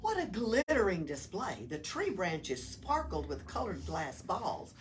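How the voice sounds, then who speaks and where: A woman reading a children's story aloud with dramatic emphasis, sometimes hushed, recorded at a distance in a library. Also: fairly low pitch, sing-song, measured pace. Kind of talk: expressive children's read-aloud. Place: small town library. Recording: distant mic with room noise.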